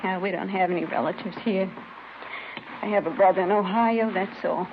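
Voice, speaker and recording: A weary middle-aged working-class mother, played by a radio actress, speaks with bitter, plaintive resignation at an ordinary female pitch. It is vintage radio drama, muffled and thick with noise. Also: moderate pace, close to an everyday voice. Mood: resigned. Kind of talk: 1950s radio drama acting.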